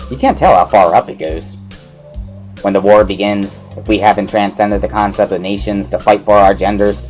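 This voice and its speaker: Guy doing a paranoid excitable student dude for a visual novel, ranty and suspicious, music playing behind. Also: fairly low pitch, natural voice. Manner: agitated, ranting, suspicious. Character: paranoid, excitable male student, conspiracy-minded. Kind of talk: dramatic reading of a visual novel.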